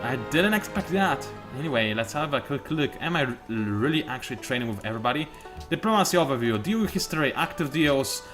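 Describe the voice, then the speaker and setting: A man's voice: mid-range voice, lively intonation, fairly quick, fairly bright tone, faint music behind. A man giving casual, joking commentary as he plays a strategy game, thinking aloud and poking fun at himself. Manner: chatty, joking and self-mocking, thinking aloud. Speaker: video game let's play host.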